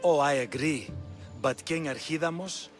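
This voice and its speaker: A man acts a condescending monarch in a game cutscene. His voice sits fairly high, courtly and sly in its theatrical delivery, with music clearly behind. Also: normal speed, natural voice. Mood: persuasive. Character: smooth, sly Spartan king, courtly and condescending. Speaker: actor voicing a game character. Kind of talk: dramatic video game acting.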